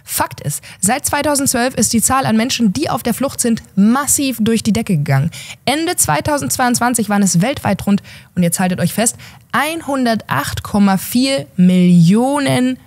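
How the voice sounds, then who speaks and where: A woman's voice: fairly high pitch, expressive, moderate pace, a little mellow. A young woman hosting an opinion show, talking sarcastically and emphatically into a desk mic in a home studio. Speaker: host. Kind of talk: opinion commentary. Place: home studio with gaming chair.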